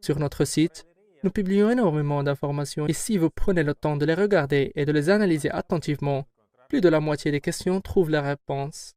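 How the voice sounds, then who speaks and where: A man heading a company, giving formal, measured and assertive answers in an interview. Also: fairly high pitch, a little mellow, smooth, dry recording. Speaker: company head answering questions.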